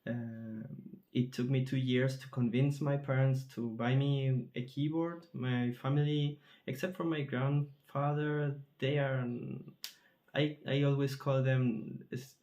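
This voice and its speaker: Slow, halting composer. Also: male voice, medium pitch, steady tone, dark tone. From Chile. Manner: thoughtful, halting, searching for words. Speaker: composer in residence. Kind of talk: interview answers.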